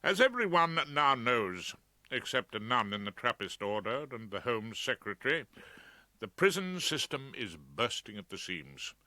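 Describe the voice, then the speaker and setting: Male, British, orotund wry storyteller for audiobook narration; cutting forceful tone, very dry sound. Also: mid-range voice, no room sound. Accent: British English. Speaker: audiobook reader.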